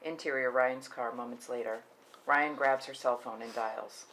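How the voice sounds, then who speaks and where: A woman with an exceptionally low voice reads stage directions as scripted narration at a table read. The room recording carries background noise and small clicks. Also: fairly even intonation, normal speed, a bit bright, rough recording.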